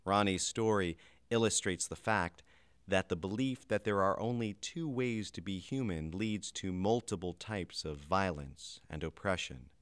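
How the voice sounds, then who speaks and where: A man with a very deep, resonant voice reads a documentary passage gravely and deliberately. The recording is dry and close, with no room sound. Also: a bit bright, no room sound. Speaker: reader. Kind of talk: scripted documentary reading.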